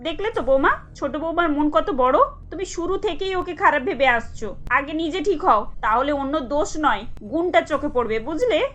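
A woman's voice: sits high, lively intonation, normal speed, few pauses, fairly bright tone, faint music behind. A woman voicing a firm older mother-in-law in a cartoon, speaking emphatically. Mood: admonishing. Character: firm older mother-in-law favouring her younger daughter-in-law. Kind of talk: cartoon dialogue.

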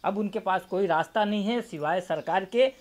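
A man's voice: sits high, moderate pace. A man reporting on location into a handheld microphone, interviewing and talking to camera with repetitive phrasing. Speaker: field reporter. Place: small crowded indoor office.

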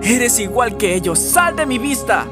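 A man's voice: extremely high pitch, sing-song, measured pace, over loud music, heavily acted. A man with a Latin American Spanish accent voicing a hot-tempered, hurt teenage boy in a fan comic dub. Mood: angry.

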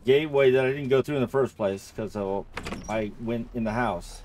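A man giving casual let's-play commentary, thinking out loud and repeating himself as he goes. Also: mid-range voice, normal speed, slight background noise. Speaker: gamer playing and commenting on a horror game.

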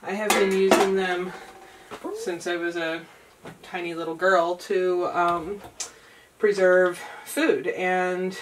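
An American woman in her thirties giving a casual, chatty kitchen demonstration with rambling asides. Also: fairly low pitch. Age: 30s. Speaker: vlogger demonstrating home canning. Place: small home kitchen. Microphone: camera a few feet away. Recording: distant camera mic, clearly noisy room.

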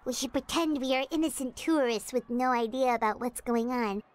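A woman's voice: high voice, normal speed, lightly acted. A woman voicing a tiny, childlike, eager and talkative fairy companion in a video game, with exclamatory cartoon delivery. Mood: chatty. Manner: speaks of self in third person, exclamatory. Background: game music.

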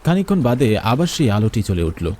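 A man narrates a scary tale in a mellow, medium-pitched voice. His delivery is dramatic and suspenseful, with noticeable watery noise behind him. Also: sing-song, fast talker. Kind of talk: first-person scripted horror story narration.

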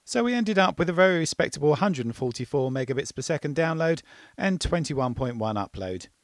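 A man's voice: mid-range voice, dry recording, studio quality. A man in his fifties giving a casual, informal explanation to camera on a lapel mic, thinking aloud as he goes. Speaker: sailing vlogger.

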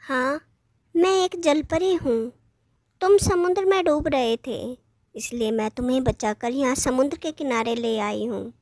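Woman as a high-voiced kind young mermaid. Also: unhurried, darkish tone, no echo, clean studio sound. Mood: earnest.

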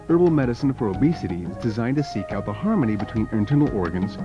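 A man's voice: fairly low pitch, sing-song, extremely slow. A man narrating a scripted documentary as its narrator. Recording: dull lo-fi video soundtrack. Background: background music.

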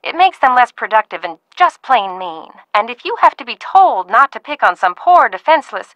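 Bright, prim scolding ranch aunt. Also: female voice, on the high side, big pitch swings, normal speed, dry recording, dull audio. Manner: prim, genteel scolding with frequent "dear". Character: fussy, scolding older aunt running a ranch, polite but stern. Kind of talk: video game voice acting.

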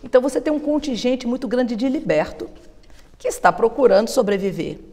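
A woman's voice: fairly high pitch, a little fast. Woman historian explaining, reverberant studio. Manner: steady, fluent, explanatory, with frequent tag questions. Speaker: historian guest. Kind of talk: explanatory interview answers. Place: television studio. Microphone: lapel mic.

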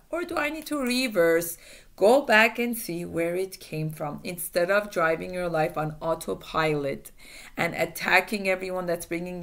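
A woman's voice: medium pitch, a bit bright. A woman in her forties, a native Farsi speaker, giving earnest self-help advice to camera, repeating key phrases, recorded by a laptop webcam in a small room.

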